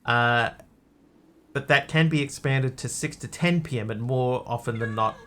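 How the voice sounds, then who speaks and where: A man with a hybrid Australian and New Zealand accent, rambling easily as he streams a game from home. His voice sits in an ordinary middle pitch. A faint hiss of noise sits behind him at the desk mic. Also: moderate pace, a bit bright. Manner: rambling, easygoing, answers chat. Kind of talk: casual chat while playing a game.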